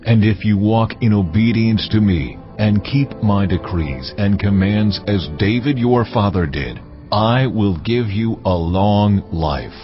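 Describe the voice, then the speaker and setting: An actor playing a solemn, benevolent God in an audio Bible drama, measured and authoritative. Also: male voice, on the low side, animated. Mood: solemn benevolence. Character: God, solemn, majestic, benevolent male divine voice. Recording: dull audio under prominent music.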